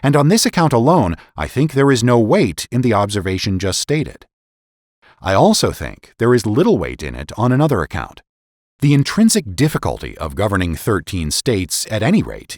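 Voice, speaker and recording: A man with a low-pitched voice reads a historical political essay aloud as a podcast narrator. His delivery is formal and measured, in an oratorical style with wide, expressive swings in intonation. The sound is dry and close. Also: no echo.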